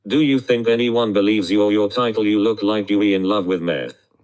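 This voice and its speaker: A computer-generated male voice reading out short viewer messages with an even, synthetic delivery. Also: lowish voice, moderate pace, clear, resonant tone, poor audio. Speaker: text-to-speech reader of viewer chat.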